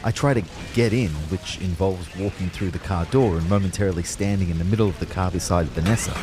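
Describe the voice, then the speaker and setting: An actor playing a bewildered male ghost, narrating his afterlife in a brooding, measured way for a scripted audio drama. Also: sits low, close to an everyday voice. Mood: somber, tense.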